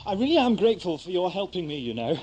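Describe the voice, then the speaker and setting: A man playing an eccentric, quick-witted, wry adventurer in an audio drama, wordy and politely flippant. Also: sits high, big pitch swings, darkish tone, dull audio, a little hiss or hum. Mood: courteous, wry.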